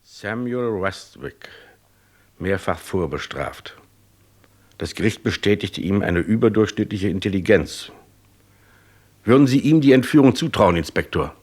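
An actor playing a brisk, authoritative Scotland Yard chief in a German radio crime drama, with clipped, commanding delivery and a husky voice. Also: male voice, lowish voice, expressive, darkish tone. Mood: decisive, commanding.

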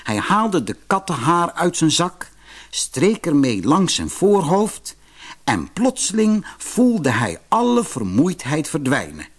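A man narrating a fairy tale for children as an expressive storyteller. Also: on the high side, slow, husky.

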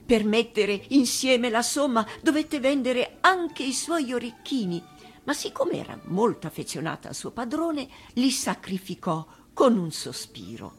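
A woman's voice: on the high side, very animated, a little slow, slightly rough. A woman reading a children's tale expressively as an actress, with music behind her. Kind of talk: expressive storybook narration. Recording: radio reading over music.

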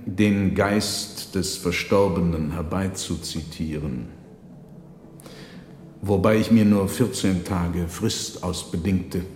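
A man playing a theatrical trickster conjurer in a radio drama, recounting his tale in measured, dramatic, formal language. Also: low voice, a little mellow, a little hiss or hum. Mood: sly, composed. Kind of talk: radio drama storytelling, literary narration. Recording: echoey reverberant hall sound.

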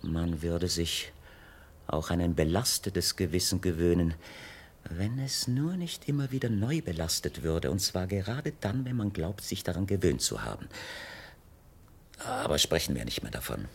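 An actor playing a wealthy, idle young bachelor in a 1960s radio play, brooding and evasive, speaking in a whimsical, flowery, mannered way with musing pauses. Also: male voice, low-pitched, animated, vocal fry. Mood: wistful, brooding. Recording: noisy vintage 1960 radio recording.

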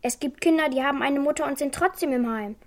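A woman's voice: high voice, fast. A female performer playing a defiant, bitter twelve-year-old girl in a radio drama, blunt and stubborn in manner. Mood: defiant, hurt. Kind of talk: German radio crime drama acting.

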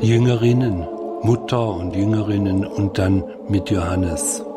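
A man giving unscripted, reflective commentary on a film, halting and thinking aloud in fragments. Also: on the low side, steady tone. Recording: clear music behind the voice.

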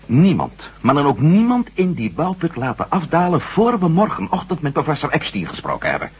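An actor playing a cautious, skeptical former astronaut turned reporter in a radio drama, earnest and insistent. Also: male voice, fairly high pitch, wildly swooping pitch, brisk, breathy edge, heavily acted. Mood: urgent warning. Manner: hesitant, doubting, then emphatic. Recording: dull lo-fi old radio recording.

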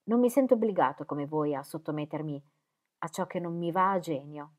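A woman's voice: low voice, fairly quick, a little mellow, natural voice. A woman reading aloud voicing a wistful, rebellious young man who talks plaintively and with sudden ardor. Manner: plaintive, impetuous.

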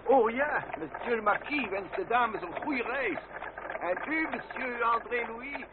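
Very noisy vintage radio drama. Loyal, fussy servant, deferential and formal, raised pitch. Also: male voice, animated, a little slow. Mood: fussy, hesitant. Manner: obsequious, hesitant, formal. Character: deferential, fussy, loyal manservant to French nobles. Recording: noisy, tinny old radio play recording.

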